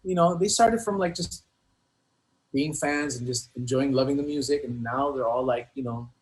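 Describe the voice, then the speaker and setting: A man talking casually as an interview guest, halting and earnest, often repeating himself. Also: mid-range voice, rough recording. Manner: rambling, earnest, halting, many repeated phrases. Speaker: hip-hop artist being interviewed. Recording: noticeable background noise.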